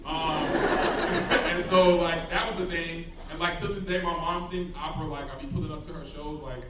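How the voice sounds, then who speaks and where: A man's voice: a little high, steady tone, moderate pace. Laid-back young man in echoey hall. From South Side of Chicago. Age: young adult. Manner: laid-back, rambling, many "like" and "um" fillers. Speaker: rapper and guest speaker. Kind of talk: casual talk to a student audience. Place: large hall with a live audience. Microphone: handheld microphone. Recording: echoey hall, distant mic, crowd noise.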